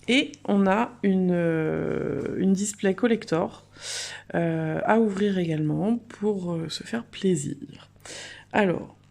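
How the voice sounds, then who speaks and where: Female unboxing host, mid-pitched, mellow with some vocal fry, casual and enthusiastic. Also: takes it slowly. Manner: chatty, enthusiastic. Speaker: host of a card unboxing video.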